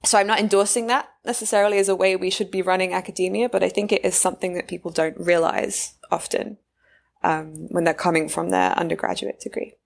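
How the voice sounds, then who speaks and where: A woman's voice: lowish voice, fairly even intonation, brisk, gravelly. A British woman in her thirties, a researcher from the UK, talking through her career path as a podcast guest, with frequent fillers. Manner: thoughtful, many fillers and restarts. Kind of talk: casual interview talk.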